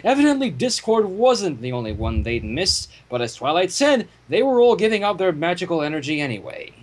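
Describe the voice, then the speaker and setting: A man reading a story aloud dramatically, with expressive reactions and asides. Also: high voice, a little fast. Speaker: fan fiction reader.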